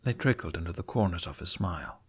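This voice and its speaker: A man narrating a fantasy story in an expressive, theatrical audiobook style. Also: low-pitched, fast, breathy edge. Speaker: fan fiction narrator.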